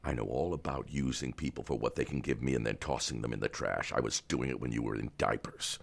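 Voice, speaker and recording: An actor playing a cold, controlling older man in an audio drama, threatening and swinging from hushed to loud. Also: fairly quick, full character voice. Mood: cold menace. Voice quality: gravelly, very deep. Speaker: Doctor Barrows, wealthy older power broker.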